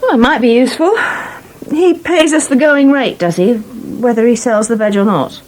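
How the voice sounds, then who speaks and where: An actress playing a brisk, practical and mildly sceptical farmer's wife in a British radio soap. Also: female voice, fairly high pitch, a little mellow, lightly acted. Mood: brisk, probing. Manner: brisk, no-nonsense, politely questioning. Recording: noisy old radio broadcast archive.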